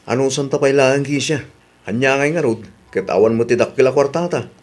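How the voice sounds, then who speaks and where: A man's voice: mid-range voice, brisk, a little mellow, close to an everyday voice. A man playing a broke husband in an amateur radio drama, coaxing his way toward another loan in a conversational manner. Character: broke husband casually pushing to borrow again.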